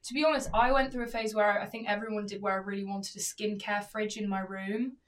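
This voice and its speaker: A woman's voice: mid-range voice, steady tone, fairly quick, far from the mic. A woman in her twenties co-hosting a casual podcast, chatty and giggly, swearing now and then. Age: 20s. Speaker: podcast co-host. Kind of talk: casual chat.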